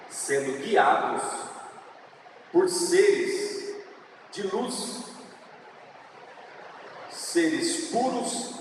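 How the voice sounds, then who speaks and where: A man with a São Paulo countryside accent gives a slow, earnest public speech, stretching out the words he wants to stress. His voice sits a bit high for a man. He is picked up from a distance in a big, reverberant assembly hall with clear noise behind him. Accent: São Paulo countryside Brazilian Portuguese. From interior of São Paulo state, Brazil. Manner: earnest, preaching, slow with drawn-out emphatic words. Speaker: speaker at a legislative assembly event. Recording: echoey hall, distant mic, noisy.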